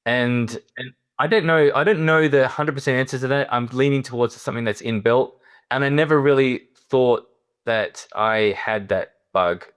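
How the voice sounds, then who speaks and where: A middle-aged man with an Australian accent, speaking conversationally as a podcast guest, haltingly, often repeating words and restarting. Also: medium pitch. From Perth, Western Australia. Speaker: interview guest, surgeon.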